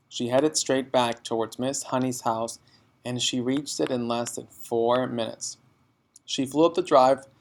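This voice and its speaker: Male storybook reader, mid-pitched voice, scripted read-aloud narration.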